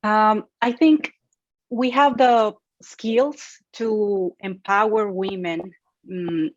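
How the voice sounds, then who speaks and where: A woman's voice: medium pitch, fairly even intonation, very slow, dry recording. A woman with a Mexican accent and Spanish as her first language, hesitantly searching for English words in an interview. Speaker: panel guest, event organizer. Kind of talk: conversational interview. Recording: dry, dull lo-fi audio.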